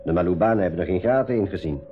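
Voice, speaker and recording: A man playing a shrewd, observant adventure companion in a radio drama, explaining things in a deliberate, expressive way. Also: low voice, soft background music. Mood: confiding. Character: Coralis, shrewd observant companion recounting his escape. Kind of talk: 1980s school radio drama dialogue. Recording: dull lo-fi radio recording.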